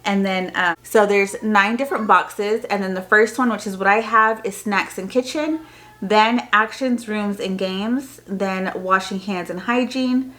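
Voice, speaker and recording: Female homeschool-parent vlogger, average pitch, casual show-and-tell delivery with plain explanations. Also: steady tone, measured pace, pushed and bright. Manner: informal, explanatory. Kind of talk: casual unboxing show-and-tell, reading printed items aloud.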